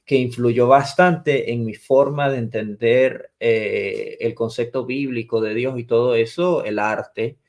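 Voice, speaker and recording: A man's voice: medium pitch, fairly even intonation, slow, few pauses, darkish tone. A man in his twenties from Venezuela, a theology teacher explaining terms step by step as a podcast guest. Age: 20s. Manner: lecturing, defines terms step by step. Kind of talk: explanatory interview answers.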